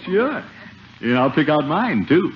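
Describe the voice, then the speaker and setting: An actor playing a pompous, blustering middle-aged uncle in a 1950s radio comedy, jovial and chuckling. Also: male voice, on the high side, very animated, measured pace. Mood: smug, jovial. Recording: muffled, noisy 1950s radio broadcast.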